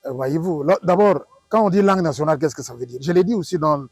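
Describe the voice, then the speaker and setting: Congolese male expert, husky, didactic and emphatic, dry interview sound. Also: a little high, quick pace, darkish tone, no echo. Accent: Congolese French. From Democratic Republic of the Congo. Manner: didactic, emphatic, rhetorical questions and repetition. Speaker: professor, linguistics expert. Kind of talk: interview, explaining to an audience.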